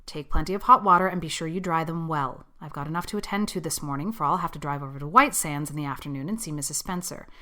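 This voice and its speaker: A woman playing a stern, practical older farm woman in storybook dialogue, brisk, grim and exasperated. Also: sits low, sharp tone. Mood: brisk, grudging. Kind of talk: dramatised audiobook dialogue.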